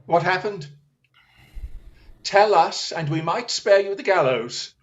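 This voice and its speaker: Victorian English detective played by a man, high voice, incisive, formal, relentless; radio drama with slightly dull sound. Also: lively intonation, slow pace, a little hiss or hum. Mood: probing. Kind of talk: radio play acting.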